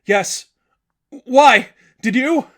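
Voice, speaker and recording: A man's voice: extremely high pitch, extremely slow, a bit bright, voice pushed up, exaggerated voice. A bewildered young woman, played by a male narrator talks in a raised, urgent voice. She is frantic and stammering, exclaiming her way through a dramatic story. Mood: panicked, bewildered. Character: panicked, bewildered young woman, just rescued.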